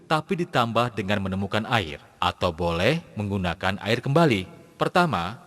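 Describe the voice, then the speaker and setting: A man's voice: medium pitch, fairly bright tone, lightly acted. A man playing a patient Islamic teacher in an educational cartoon, explaining step by step in speech. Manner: methodical, instructive, enunciated step by step. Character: patient male Islamic teacher instructing young pupils. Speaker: religious teacher. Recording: long hall-like reverb.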